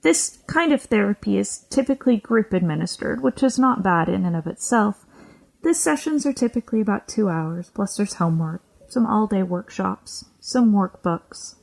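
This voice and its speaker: A woman presenting a scripted explanatory podcast segment in a measured, lecturing manner. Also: medium pitch, animated, creaky, a little mellow, poor audio.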